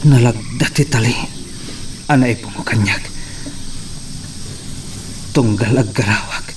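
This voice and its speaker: Slow, anguished captive played by a man. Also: fairly low pitch. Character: weakened male captive, bound, desperate but resolute. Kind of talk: dramatic monologue in radio drama. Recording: distant mic, clear night-insect noise.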